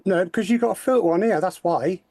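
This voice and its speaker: Brief: man, slightly higher voice, dry mic, blunt and crude banter. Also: quick pace, a little mellow, no echo. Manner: crude, joking. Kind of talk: casual livestream chat.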